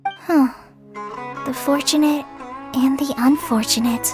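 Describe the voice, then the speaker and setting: A woman voicing an earnest, soft-hearted young girl in a video game, hesitant and reflective, with music behind her. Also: high-pitched, slow pace. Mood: troubled, wistful. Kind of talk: scripted video game story dialogue. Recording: background music under the voice.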